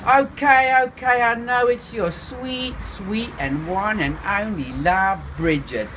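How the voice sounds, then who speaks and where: A man's voice: high-pitched, takes it slowly, fairly bright tone, slight background noise, pitched above the performer's own voice, poor audio. A man reading his own novel aloud and voicing a wasted young male clubber who talks in slurred, pushy banter. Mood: drunken pleading.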